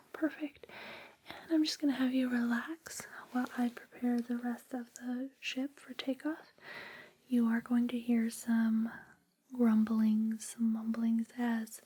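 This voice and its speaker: A woman whispering softly and breathily in a soothing, reassuring ASMR personal-attention roleplay, close to a binaural microphone. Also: a little high, poor audio. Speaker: ASMR performer playing a space flight attendant guiding a sleep journey. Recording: close binaural with background hiss and clicks.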